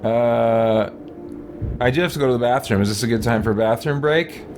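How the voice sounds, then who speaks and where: A man's voice: medium pitch, moderate pace. A man giving casual, playful let's play commentary with theatrical roleplay asides. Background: video game music.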